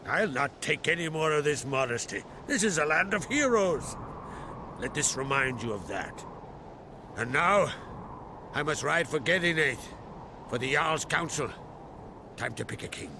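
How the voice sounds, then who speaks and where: A proud, weary island chieftain from a fantasy game. He talks slowly in a raised, heavily creaking voice, solemn and blunt, with music behind him. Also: on the high side, lively intonation, background noise. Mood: grave, resolute. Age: middle-aged. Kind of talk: dramatic video game acting.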